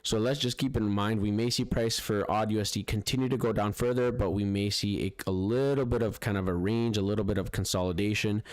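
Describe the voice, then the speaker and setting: A man talks through market charts on his live stream in a relaxed, rambling way, calling his viewers "guys" and checking in with "right?". His voice sits on the low side. The sound is close and dry, with almost no room in it. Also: quick pace, few pauses, dry recording. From Spanish-speaking background. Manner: chatty, repeats "guys" and "right?", frequent fillers and restarts. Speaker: forex trading livestream host. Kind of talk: casual live-stream commentary over charts.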